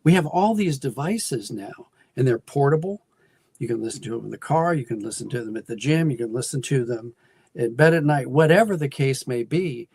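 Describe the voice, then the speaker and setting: Gravelly, husky American man explaining thoughtfully. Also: medium pitch, animated, brisk, darkish tone. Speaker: guest, audio drama writer and producer. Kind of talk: conversational interview answers.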